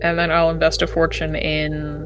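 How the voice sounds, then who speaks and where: A woman playing a tabletop game, talking casually in a measured, halting way. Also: low-pitched, monotone. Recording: music playing behind the voice.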